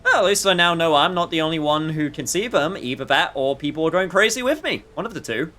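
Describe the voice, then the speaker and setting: A man's voice: on the high side, animated, fast talker, pushed and bright. A man doing casual let's-play commentary, playfully reacting and muttering to himself, with some swearing. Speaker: game streamer. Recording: faint background noise.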